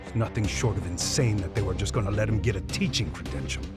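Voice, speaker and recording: A man's voice: on the low side, quick pace, over loud music, lightly acted. A man playing a bitter grieving father. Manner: bitter, mocking. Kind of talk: video game cutscene dub.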